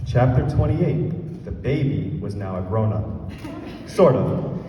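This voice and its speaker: A man's voice: on the low side, animated, slow, dark tone. A man giving a humorous scripted wedding speech, read aloud like a storybook. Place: large reverberant event room at a wedding. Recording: very echoey, noisy hall from far off.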